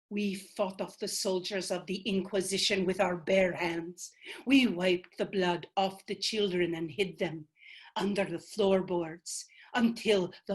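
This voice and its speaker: A woman's voice: mid-range voice, husky. A woman voicing the fierce spirit of an ancient female ancestor, stern and commanding in a one-woman stage monologue.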